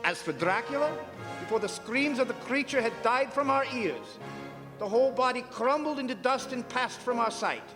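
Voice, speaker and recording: An actor playing an authoritative vampire hunter in a staged radio drama, grave, urgent and solemn in delivery. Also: male voice, very high voice, expressive, moderate pace. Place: performing arts centre stage. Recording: reverberant theatre hall recording, music behind.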